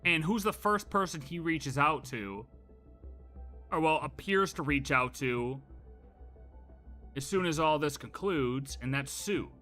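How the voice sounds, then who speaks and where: A man giving casual live playthrough commentary, thinking out loud in a rambling, speculative way with repeated half-phrases. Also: on the high side, animated, a little slow, long pauses, faint music behind. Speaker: game streamer.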